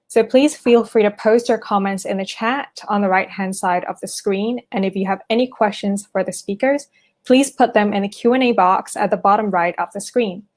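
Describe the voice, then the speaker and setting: A woman from a festival team gives a scripted introduction to an online event as its host. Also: mid-range voice.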